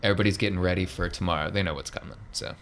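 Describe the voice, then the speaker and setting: A man's voice: sits low, fairly even intonation, very fast, a bit bright, a little hiss or hum. A man acting as game master, casually improvising scene narration for a tabletop game.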